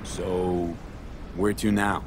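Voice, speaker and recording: Game role: cool street merc, fairly low, terse and practical, noisy vehicle ambience. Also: male voice, level tone, very slow. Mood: probing. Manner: terse, clipped, matter-of-fact. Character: cool, pragmatic street mercenary man. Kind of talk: naturalistic video game acting. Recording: vehicle and ambience noise behind.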